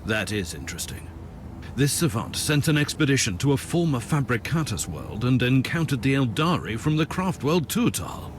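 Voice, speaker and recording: An actor playing a stern, commanding inquisitor in a sci-fi video game, resolute, suspicious and formal. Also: male voice, medium pitch, sing-song, moderate pace, background noise, close to an everyday voice. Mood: grim resolve. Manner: formal, authoritative. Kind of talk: video game dramatic acting.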